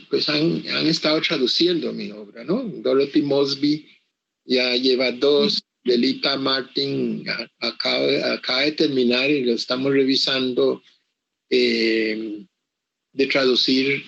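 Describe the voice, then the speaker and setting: Slow, gravelly older man, halting speech. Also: mid-range voice, steady tone, a bit bright, muffled recording. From Costa Rica. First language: English. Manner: halting, full of pauses and restarts. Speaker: author being interviewed. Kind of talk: slow reflective interview answers.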